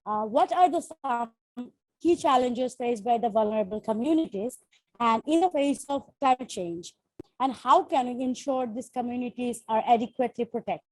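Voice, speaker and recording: A woman's voice: fairly high pitch, normal speed, no room sound, poor audio. A woman from Bangladesh moderating a panel, making polite, formal introductions.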